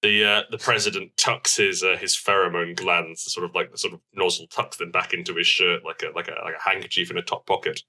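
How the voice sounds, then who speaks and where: A woman adding improvised comic narration to a film retelling, in an animated way. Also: rumbling low, a little fast, few pauses. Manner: lively, animated asides. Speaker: comedy podcast co-host.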